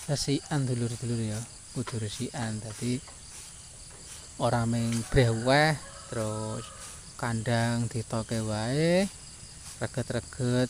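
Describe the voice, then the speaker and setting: A man's voice: medium pitch, darkish tone. A man from rural Java with a Javanese accent, narrating his daily chores in a casual vlog, often repeating himself and tagging phrases with a questioning filler. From rural Java, Indonesia. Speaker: village vlogger, farm labourer. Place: outdoors by a goat pen in a village. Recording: noisy outdoor village ambience.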